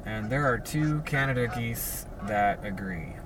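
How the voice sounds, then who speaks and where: A man hosting a casual solo podcast from his parked car, speaking in a monotone with many halting fillers and restarts. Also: mid-range voice, recorded from a distance, background noise. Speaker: podcast host. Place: sitting alone in a parked car.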